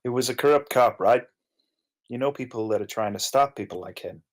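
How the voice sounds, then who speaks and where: A man's voice: mid-range voice, brisk, natural voice. A man playing a blunt, casual young roommate, defensive but caring, in an informal screenplay table read. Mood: urging.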